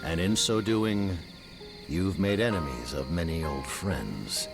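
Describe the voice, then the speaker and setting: A grave, solemn figure speaks very slowly from the bottom of his range, his tone gritty with fry. Every word lands with weight, as in a story game cutscene. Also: sits low, over music, heavily acted. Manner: slow, measured and weighty.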